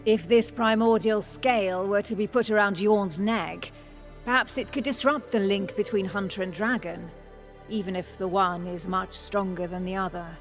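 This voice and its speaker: A woman's voice: mid-range voice, steady tone, moderate pace, soft background music, lightly acted. An actress playing a pragmatic female councillor in a fantasy game, reasoning in formal phrases. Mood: questioning. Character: pragmatic female council member proposing plans. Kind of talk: scripted fantasy game cutscene acting.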